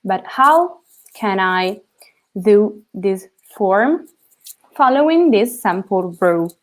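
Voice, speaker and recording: Slow-speaking Italian woman teaching a lesson. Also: mid-range voice, animated, low-quality recording. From Italy. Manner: checks in with "okay?" after each point. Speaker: language teacher. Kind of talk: online language lesson.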